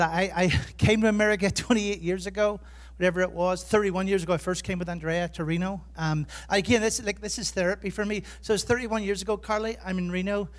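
An Irish man preaching as a pastor, chatty and self-deprecating, telling humorous personal stories in his sermon. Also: high-pitched. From Ireland. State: jet lagged.

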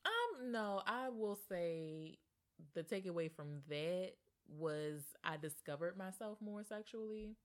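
A woman's voice: lowish voice, animated, takes it slowly. A young woman co-hosting a podcast, playful and jokey, often exclaiming in surprise. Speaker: podcast co-host. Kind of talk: casual chat.

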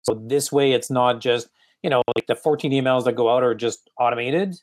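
A man with a slight French accent, a real estate agent talking through his email follow-ups, halting and often restarting his sentences. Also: medium pitch. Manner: halting, restarts often, many "you know"s. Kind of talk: casual coaching conversation.

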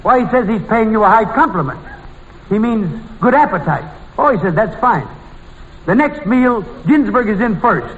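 A man's voice: expressive, normal speed, fairly bright tone, in a large hall. An actor playing a talkative man telling gags as a panelist on a 1940s radio comedy. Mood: storytelling. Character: talkative male raconteur pushing his gags. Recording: boomy, muffled old radio recording.

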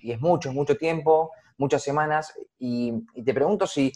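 A man's voice: a little high, normal speed, darkish tone. Argentine guy interviewing, casual and chatty, stumbles over his words a bit. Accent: Argentine Spanish. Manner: casual, repeats words while framing questions. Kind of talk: conversational interview.

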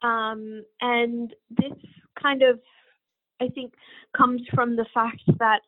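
A woman's voice: a little high, little pitch movement, slow pace. A woman from Bangladesh, a podcast guest, answering interview questions in an earnest, reflective way as she thinks aloud. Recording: phone-like narrow line.

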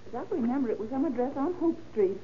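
A woman's voice: high-pitched, lively intonation, fast talker. An actress playing a fussy, talkative hat shop saleswoman with a rambling, fluttery manner in 1930s radio style. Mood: searching, flustered. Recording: muffled, hissy old radio recording.

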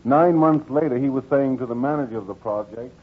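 A man's voice: medium pitch, a little fast, husky, lightly acted. A man narrating a 1940s radio drama as an earnest, solemn and oratorical storyteller. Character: earnest male narrator of a civil-rights radio documentary. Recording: tinny, band-limited 1940s radio recording.

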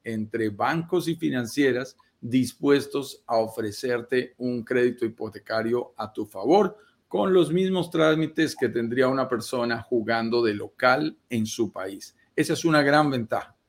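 Persuasive man giving investment advice. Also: medium pitch. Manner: measured and persuasive, speaks in lists. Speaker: real estate investment advisor. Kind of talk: explanatory live-stream talk.

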